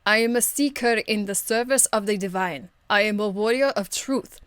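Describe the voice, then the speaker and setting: Woman acting stern female holy warrior, noble, formal, measured, earnest, forceful tone, dry close sound, fantasy game. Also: mid-range voice, clear, resonant tone, no echo, close to an everyday voice. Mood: indignant. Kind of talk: amateur game character voice acting demo.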